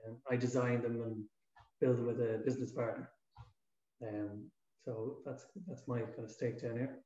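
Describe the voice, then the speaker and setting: A man's voice: medium pitch, flat delivery, moderate pace, warm tone. A man in his forties with a Dublin accent, a guest giving brief plain answers in an office room. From Ireland. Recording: echoey lo-fi room.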